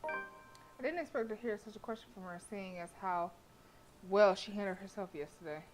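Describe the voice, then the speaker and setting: A woman's voice: medium pitch, normal speed, music in the background, rough recording. A woman streamer reading a visual novel aloud expressively, with casual, sassy asides. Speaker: game streamer.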